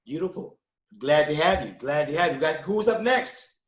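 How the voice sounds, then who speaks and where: A man's voice: fairly high pitch, lively intonation, moderate pace, reverberant. A man guest-hosting a live online talk show, upbeat and loose, with many fillers. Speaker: guest host.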